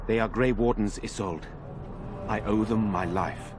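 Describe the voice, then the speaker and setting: A man's voice: on the low side, measured pace, noisy background, lightly acted. An actor playing a troubled, dutiful nobleman in a fantasy game, with a formal and earnest way of speaking. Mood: startled concern. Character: troubled, dutiful nobleman protecting his villagers. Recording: music behind the voice.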